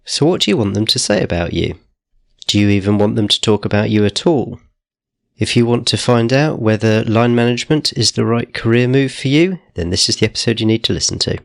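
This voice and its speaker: Low, gravelly male podcast host. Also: brisk, no echo, clean studio sound. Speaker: podcast host and career coach. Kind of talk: scripted intro then interview questions.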